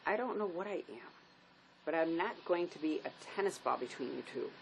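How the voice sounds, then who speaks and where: Jaded girl on the run, played by an actress: deep female voice, sardonic, resigned, noisy recording. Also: expressive, brisk. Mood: defiant. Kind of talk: dramatic script reading. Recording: clear background noise, room ambience.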